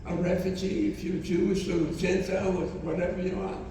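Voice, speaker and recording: Brief: elderly man in his 90s, non-native English, halting and repetitive, a mellow low voice picked up from far off in a boomy hall. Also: flat delivery, a little hiss or hum. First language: not English. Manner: halting, repeats phrases, speaks with feeling. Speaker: Holocaust survivor speaker. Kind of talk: testimony to students. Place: college hall.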